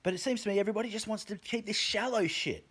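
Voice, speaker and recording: A man's voice: sits high, quick pace, a bit bright, breathy edge. A man delivering a profane opinion rant to camera, emphatic and full of swearing, often stumbling and restarting his words. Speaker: vlogger.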